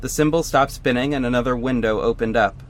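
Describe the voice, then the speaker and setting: A man's voice: mid-range voice, fairly quick, slight background noise, lightly acted. A man playing a vigilante hero, narrating his own story in scripted first person for an audio drama. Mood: intrigued.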